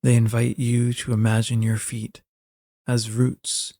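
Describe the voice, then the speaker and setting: A man narrating a scripted guided meditation in a gentle, soothing manner, giving soft, unhurried instructions close to the mic. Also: medium pitch, completely flat delivery, no echo.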